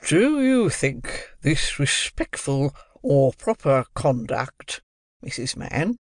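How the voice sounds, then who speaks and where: A man's voice: mid-range voice, sing-song, slow, dry recording, studio quality. A man voicing a fat, choleric middle-aged beadle who talks down in a pompous, lecturing way. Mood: indignant. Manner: pompous, lecturing, self-important.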